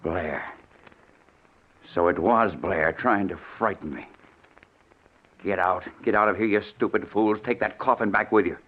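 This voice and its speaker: A man's voice: deep voice, unhurried, long pauses. An actor playing a jumpy, irritable, blustering man in an old-time radio mystery. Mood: indignant, angry. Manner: blustering, hesitant when alarmed, snappish. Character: wealthy, jumpy, irritable man hounded by vengeance. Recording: muffled, tinny old radio recording.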